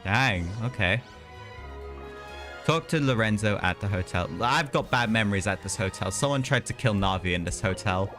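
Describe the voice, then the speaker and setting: Low-voiced man chatting over a game stream. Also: lively intonation, normal speed. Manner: chatty, self-mocking, rambling asides. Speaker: livestreamer. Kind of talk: casual gameplay commentary. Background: game music.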